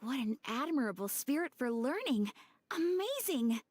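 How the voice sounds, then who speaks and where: A woman playing a polite, curious young adventurer in a video game, courteous and expressive. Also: sits high, normal speed, breathy edge, no room sound, exaggerated voice. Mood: friendly, curious. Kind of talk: scripted game character dialogue. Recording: faint music behind.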